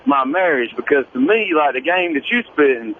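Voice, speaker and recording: A man's voice: fairly high pitch, big pitch swings, fairly quick. Eager male caller, tinny phone line, faint background noise. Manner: eager, rambling praise. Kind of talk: phone call-in.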